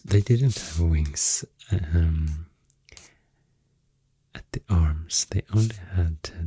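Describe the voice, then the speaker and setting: A woman with a Swedish accent doing soft-spoken ASMR rambling, breathy and whispery, hesitant and unhurried, close to the microphone. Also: extremely low pitch, no room sound. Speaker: ASMR artist. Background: soft pencil sounds.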